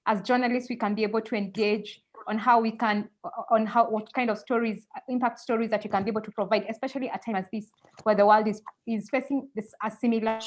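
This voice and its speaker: A woman's voice: on the high side, steady tone, fast talker. A woman founder introducing herself on a panel, earnest and searching for words. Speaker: founder and CEO.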